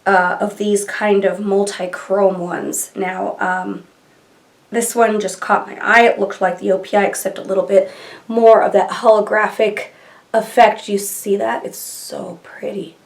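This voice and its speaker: A woman's voice: medium pitch, little pitch movement, measured pace. A woman in her thirties with a stuffy nose from allergies, chatting casually and rambling as she reviews products in a small room at home. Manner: chatty and rambling, gushing over colors. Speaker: beauty vlogger. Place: small bedroom filming nook, indoors.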